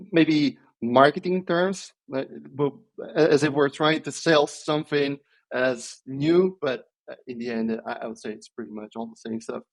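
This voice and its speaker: A man, answering interview questions in a thoughtful, hesitant way with many pauses and restarts. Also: mid-range voice, low-quality recording. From Italy. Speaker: podcast guest, software engineer.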